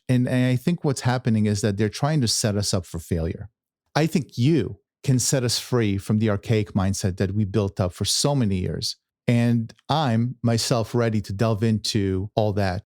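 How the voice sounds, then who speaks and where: A man with a low voice hosts a podcast interview, asking earnest leading questions. He checks in with "right?" as he goes, and his voice sounds dry and close, with no room echo. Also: darkish tone. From born in Israel, raised in New York. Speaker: podcast host. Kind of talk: interview hosting.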